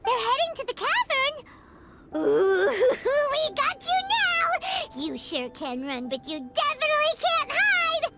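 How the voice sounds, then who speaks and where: A woman voicing a small, excitable, impatient fairy-like girl companion in a video game, squeaky and childlike, with bubbly exclamations. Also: slow pace, exaggerated voice. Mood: excited. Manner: bubbly, exclaiming, refers to self in third person. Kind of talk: video game character voice acting. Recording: game music behind the voice.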